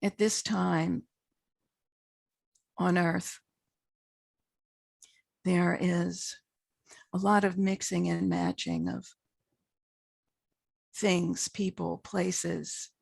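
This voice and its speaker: Slow, gravelly woman guiding meditation. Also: level tone, a little mellow, no room sound. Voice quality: husky, gravelly low voice. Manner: slow, measured, with long pauses between short phrases. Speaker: guided meditation teacher. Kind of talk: guided meditation, unscripted spiritual talk.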